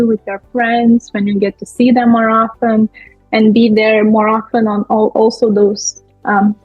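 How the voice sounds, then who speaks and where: A young woman from Lithuania, a guest speaking in a friendly, halting way with many fillers. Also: a little high, darkish tone, no room sound. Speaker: guest, business owner. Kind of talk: casual interview talk. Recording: muffled, dull audio.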